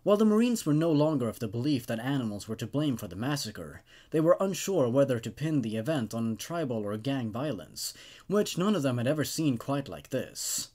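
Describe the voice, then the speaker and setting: Expressive male horror story narrator. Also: mid-range voice, fairly quick. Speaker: host reading horror stories. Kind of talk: scripted story narration with casual asides.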